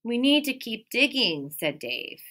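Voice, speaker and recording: A woman's voice: on the high side, big pitch swings, a little slow, pushed and bright. A woman in her thirties, an elementary teacher, reads a picture book aloud expressively, stressing key words and prompting young listeners with questions. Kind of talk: animated children's picture-book read-aloud with asides. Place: small indoor room by a window.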